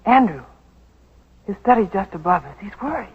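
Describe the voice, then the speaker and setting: A woman's voice: mid-range voice, lively intonation, normal speed, breathy edge. A woman acting an anxious, earnest, wrongly accused secretary in a 1940s radio drama. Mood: worried. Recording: dull vintage 1940s radio recording.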